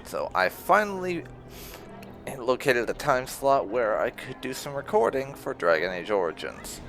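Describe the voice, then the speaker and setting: A man's voice: medium pitch, very animated, measured pace, a bit bright, soft background music. A man hosting a let's play, giving casual, rambling gameplay commentary in an informal way. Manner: rambling, informal, with occasional swearing.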